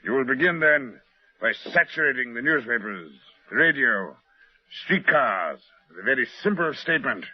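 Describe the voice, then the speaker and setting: A man's voice: mid-range voice, animated, moderate pace, husky, no room sound. An actor playing a secretive, suave and commanding male client with formal, portentous phrasing in an old time radio drama. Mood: commanding, precise. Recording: muffled vintage radio broadcast recording.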